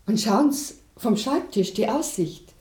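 An actress playing a polite, businesslike and slightly tart small-town secretary in a radio drama. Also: female voice, on the high side, expressive, measured pace, darkish tone, roomy sound. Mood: brisk, tart.

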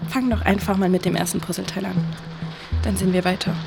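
Eine Schauspielerin spielt in einem Hörspiel eine junge, selbstsichere Frau, die pragmatisch und beruhigend auf ihre Freundin einredet. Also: female voice, lowish voice, fairly even intonation, quick pace, gravelly, music in the background. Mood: reassuring, focused. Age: young adult. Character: Leslie, young woman, confident, reassuring, pragmatic best friend. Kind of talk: naturalistic audio drama acting.

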